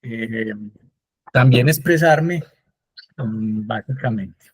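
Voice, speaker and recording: A man's voice: mid-range voice, extremely slow, slightly rough, dry recording, poor audio. A man, a professor on a panel, speaking hesitantly with many fillers. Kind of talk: panel discussion.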